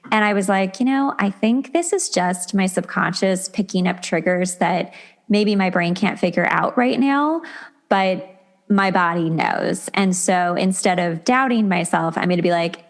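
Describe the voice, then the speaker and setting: A woman podcast host with a medium-pitched voice. She chats informally and conversationally, slipping into little quotes of her own thoughts as she goes. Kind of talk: casual interview chat.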